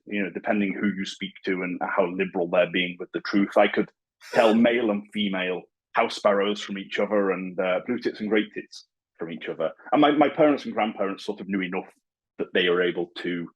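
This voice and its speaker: Liverpool man, 30s, husky low voice, relaxed storyteller, muffled call audio. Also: brisk. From Liverpool, England. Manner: relaxed, storytelling, with stammered restarts. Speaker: guest. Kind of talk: casual video-call interview. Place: home. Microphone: video call.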